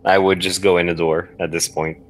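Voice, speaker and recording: Male podcast guest, very low register, casual player in an improvised game, halting and thinking aloud. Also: little pitch movement, a little hiss or hum.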